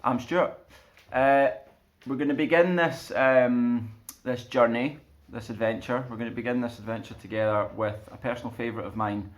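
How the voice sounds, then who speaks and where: A man hosting a storytime and reading aloud for children in an expressive storyteller's style. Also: mid-range voice, moderate pace. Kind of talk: casual intro and slow expressive storybook narration.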